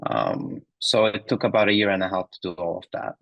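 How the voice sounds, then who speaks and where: Low voiced guy from turkey, 30s, chatting as a podcast guest, lots of uh and um, dry sound. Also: fairly quick, vocal fry, dry recording, highs cut off. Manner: relaxed, with many ums and uhs. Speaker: founder and guest. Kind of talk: casual interview answers. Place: remote recording.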